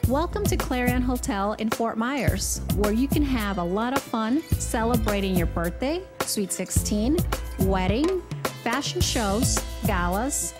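A woman's voice: fairly high pitch, animated, measured pace. A woman reading scripted advertisement narration as a commercial voice-over announcer. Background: loud music.

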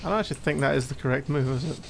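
A man playing a needy young gamer boyfriend in an ASMR roleplay, halting and teasing, with playful exasperation as he explains a game. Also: mid-range voice, gravelly, background noise, natural voice. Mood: casual explaining. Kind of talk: ASMR boyfriend roleplay, improvised-sounding casual chat.

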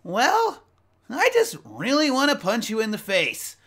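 A man voicing a brash, simple-minded young hero, loud and eager. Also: extremely high pitch, huge pitch swings, measured pace, voice pushed up, full character voice. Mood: cocky.

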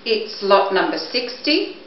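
A middle-aged woman presenting items for auction in a measured, matter-of-fact way, speaking to a camera a few steps away indoors. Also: mid-range voice, sing-song. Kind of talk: product showcase, descriptive talk. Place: indoors, living or dining room at home. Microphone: camera microphone a few steps away. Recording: echoey room, dull muffled sound, background hiss.

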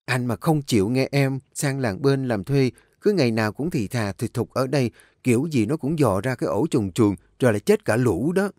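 A man's voice: mid-range voice, lively intonation, normal speed, darkish tone, no echo, clean studio sound, lightly acted. A man voicing a desperate young village woman who pleads and wails for mercy. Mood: sly. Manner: wailing, pleading.

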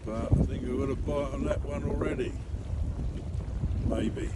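A man's voice: medium pitch, fairly even intonation. A man, chatting wryly and self-mockingly to a phone camera while fishing outdoors by an estuary sea wall. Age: 60s. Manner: wry, self-mocking, unhurried asides. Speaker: angler vlogger. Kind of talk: casual chat to camera. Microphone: phone camera held by the speaker. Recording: loud wind noise on the phone mic outdoors.

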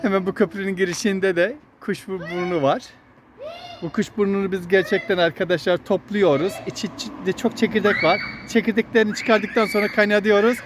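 A man's voice: high voice, fairly even intonation, a little fast. Man vlogging outdoors over faint street noise. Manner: explanatory, addresses child and viewers. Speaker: vlog host, father talking with child. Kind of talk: casual outdoor walking vlog chat. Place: outdoors on a walking path. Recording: noisy outdoor recording with traffic hum.

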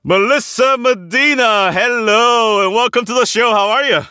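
A man's voice: extremely high pitch, moderate pace, sharp tone, smooth, no echo, studio quality, soft background music. A man hosting an interview podcast, speaking conversationally as he introduces and questions a guest. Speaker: podcast host.

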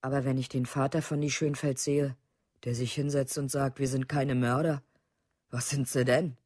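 An actor playing a bitter, indignant parent in a documentary radio drama, husky and insistent. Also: medium pitch, flat delivery, normal speed, darkish tone, close to an everyday voice. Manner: insistent, repetitive questioning.

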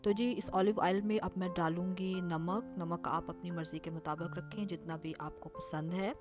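A woman's voice: lowish voice, steady tone, moderate pace. A woman narrating her cooking and dinner-outing vlog in a chatty, explanatory way, with frequent filler sounds. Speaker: lifestyle vlogger. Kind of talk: casual recipe and travel vlog voice-over. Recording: clear music bed behind voice.

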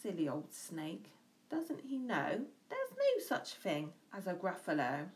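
A woman reading a children's story aloud in an expressive style. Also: lowish voice, takes it slowly. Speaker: storybook reader.

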